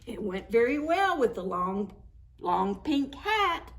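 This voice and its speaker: A woman reading picture books aloud to preschoolers, with expressive, deliberate, sing-song storytelling. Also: on the high side. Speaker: children's library storytime reader. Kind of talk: read-aloud picture book narration for preschoolers.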